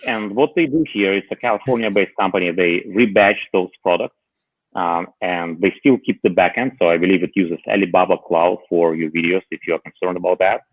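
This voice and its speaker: A man's voice: sits low, brisk, dry recording. A man on a panel call chatting casually about home gadgets, hesitant, with many fillers and dry humor. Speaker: panel guest. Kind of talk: casual tech chat on a call. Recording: tinny phone-like line.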